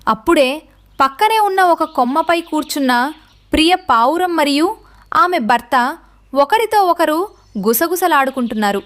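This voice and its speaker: A woman's voice: high voice, expressive, normal speed, long pauses, fairly bright tone. A woman narrating a scripted story for children.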